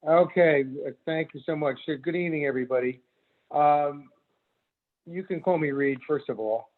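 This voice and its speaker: A man in his sixties from Connecticut, a commercial broker testifying at a remote public hearing, speaking haltingly with many fillers. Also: a little high. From Norwalk, Connecticut. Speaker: commercial real estate broker. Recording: tinny phone-line audio.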